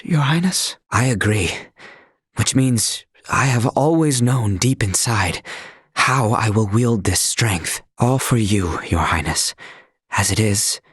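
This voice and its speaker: An actor playing a devoted, earnest young knight in a video game, speaking in formal, archaic courtly language. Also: male voice, fairly low pitch, breathy edge, no room sound, clean studio sound. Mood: solemn, conflicted.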